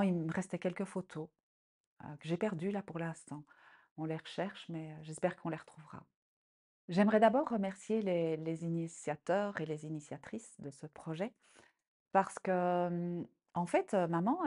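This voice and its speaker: A woman in her sixties, born in Congo, a storyteller recounting a family tale with many pauses, fillers and restarts that livens up as the tale goes on. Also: low voice. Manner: halting, many fillers and restarts, animated when telling the tale. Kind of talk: personal account and folk tale.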